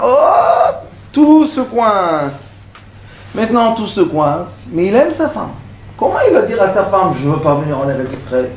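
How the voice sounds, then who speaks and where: Rabbi giving a lesson, man with a high voice, asks the room questions, acts out small scenes, echoey hall. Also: a little hiss or hum. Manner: storytelling, acts out little dialogues, rhetorical questions. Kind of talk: Torah lesson. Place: lecture hall.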